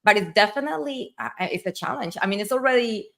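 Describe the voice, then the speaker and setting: Chatty host with a Spanish accent. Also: female voice, mid-range voice, animated, fast, vocal fry, a bit bright. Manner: enthusiastic, chatty, frequent fillers. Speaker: livestream host. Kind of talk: casual livestream conversation.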